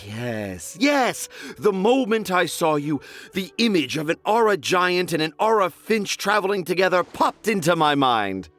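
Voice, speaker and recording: A vain, dreamy storybook author who speaks in grand, flowery phrases. His voice sits a touch high and swings dramatically up and down. The delivery is theatrical game dialogue. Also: very animated, measured pace, fairly bright tone, heavily acted. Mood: grandiose. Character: pompous, dreamy male children's author.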